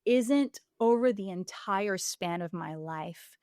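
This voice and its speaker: A woman's voice: medium pitch, animated, takes it slowly, darkish tone, clean tone, dry recording, studio quality. A woman in her forties from Puerto Rico, a singer and voice coach, speaking candidly and reflectively in a podcast interview, with frequent fillers and laughs. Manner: earnest, reflective, laughs often, many fillers.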